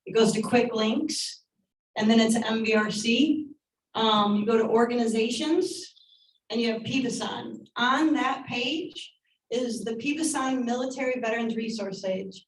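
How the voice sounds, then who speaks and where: A woman's voice: a little high, moderate pace, a little hiss or hum. A woman organizing a meeting, explaining step by step where to find resources. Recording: echoey meeting room, far from mic.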